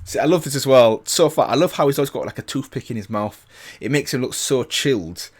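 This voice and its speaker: A man's voice: medium pitch, fairly quick, over music. A man giving casual, enthusiastic reaction commentary as a video host, often repeating and rephrasing himself.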